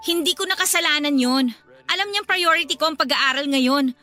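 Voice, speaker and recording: Radio drama role: exasperated female college student, high-pitched and bright, faint music behind. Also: normal speed. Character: stressed young female med student and her nagging younger sister. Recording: faint music under dialogue. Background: faint background music.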